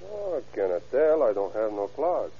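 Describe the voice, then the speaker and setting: An actor playing an evasive Mexican husband and father in halting, accented English, in old-time radio drama style. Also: on the low side, big pitch swings, husky, heavily acted. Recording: muffled vintage radio recording.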